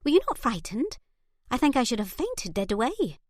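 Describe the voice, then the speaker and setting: A woman voicing an eager, excitable young noblewoman in an audiobook, gushing as she talks. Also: a little high, normal speed, no echo, studio quality, voice pushed up. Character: bubbly, warm young English noblewoman. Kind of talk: audiobook character voice.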